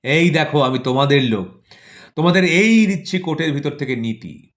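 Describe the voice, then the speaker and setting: A boastful ruler struts like a stage conjurer in a satirical audio play, performed by a male narrator. He chants mock spells in a showman's manner, with the pitch pushed up. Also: animated, moderate pace, natural voice. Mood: showy, boastful. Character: boastful ruler as stage magician, handing out false gifts.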